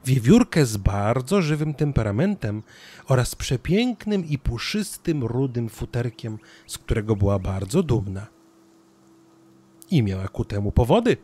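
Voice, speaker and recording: Animated male storyteller. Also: mid-range voice, a little slow, breathy edge. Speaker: narrator. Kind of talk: scripted children's story narration.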